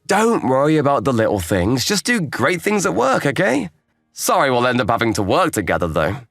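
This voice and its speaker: A man acts as an easygoing, kindly young male office worker in a manga dub. His casual delivery swings widely in pitch as he moves between narration and dialogue. Faint music plays behind him. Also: wildly swooping pitch, fairly quick, gravelly, a bit bright, no echo, full character voice. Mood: reassuring. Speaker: narrator and lead character.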